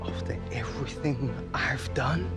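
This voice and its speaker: An actor playing a proud, hurt young British footballer, blunt and accusing, in a video game drama. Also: male voice, mid-range voice, measured pace, over loud music, natural voice. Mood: angry, betrayed. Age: young adult.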